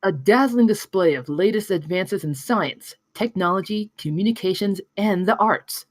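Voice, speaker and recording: A woman's voice: medium pitch, normal speed, no room sound, close to an everyday voice. A woman reading an inviting 1970s promotional film announcer in a script table read, with a polished sales delivery.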